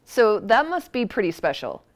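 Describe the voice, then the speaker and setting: Friendly female co-host at a mid pitch, casual and conversational. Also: big pitch swings, normal speed. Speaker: awards show co-host. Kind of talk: casual interview questions.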